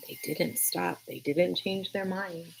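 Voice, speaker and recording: A woman voicing a wistful, resigned old grandfather telling stories in a gentle, sorrowful way. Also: fairly low pitch, takes it slowly, vocal fry, a little hiss or hum, exaggerated voice, rough recording.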